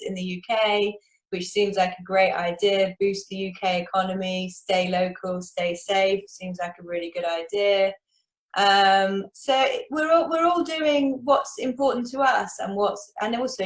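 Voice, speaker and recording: A woman doctor giving informal advice as an interview guest, chatty and conversational. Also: medium pitch. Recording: roomy, echoey video call.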